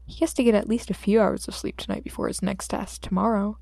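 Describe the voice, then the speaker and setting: Female fiction narrator: expressive scripted read, relaxed mellow timbre, very dry close sound. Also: mid-range voice, fast talker, creaky, no echo. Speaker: audiobook narrator.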